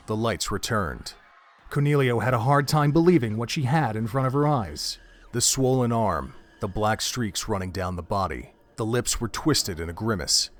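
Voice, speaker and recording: Lower-voiced male horror narrator. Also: a bit bright. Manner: measured, dramatic storytelling.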